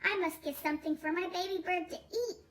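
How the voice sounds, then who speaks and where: A woman's voice: very high-pitched, a little fast. A woman voicing a caring, fussing mother bird in a children's storybook, exclaiming and doting. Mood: excited, caring.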